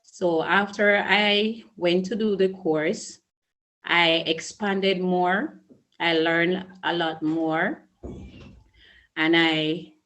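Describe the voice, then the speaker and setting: A middle-aged woman with a Jamaican accent speaks slowly and deliberately in a low voice. She answers earnestly, pausing and restarting as she works through her thoughts, like a school principal being interviewed. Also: steady tone, fairly bright tone. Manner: slow, measured, earnest with restarts. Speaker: early childhood school principal.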